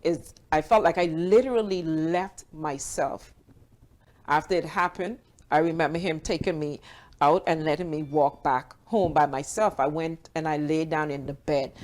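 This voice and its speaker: A woman's voice: deep voice, darkish tone. A woman from the Bahamas, telling a personal story as an interview guest.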